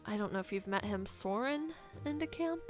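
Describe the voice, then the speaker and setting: A woman playing a troubled young camp girl in an improvised game roleplay, speaking haltingly and trailing off as she confides. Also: mid-range voice, expressive, soft background music, close to an everyday voice. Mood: confiding, uneasy. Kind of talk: improvised Minecraft roleplay dialogue.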